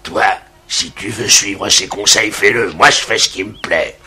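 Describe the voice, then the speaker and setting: An actor voicing a brutish, boastful prehistoric hunter chief who talks in broken speech in a cartoon dub. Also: male voice, lowish voice, unhurried, bright, forceful tone, exaggerated voice. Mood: defiant. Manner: broken speech, gruff mocking laugh.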